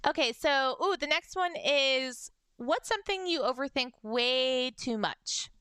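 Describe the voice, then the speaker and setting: A young adult woman with a high-pitched voice, chatting casually on a livestream and reading fan messages, bubbly and giggly. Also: lively intonation, unhurried, sharp tone, clean tone, dry recording, studio quality. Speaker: VTuber streamer.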